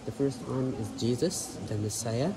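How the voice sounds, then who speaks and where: A man speaks as a tour guide, laying out explanations in short, halting phrases of non-native English. His pitch is middling, and clear background noise fills the church space around him. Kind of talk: explaining a site to visitors. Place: large church interior. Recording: noisy ambience inside a church.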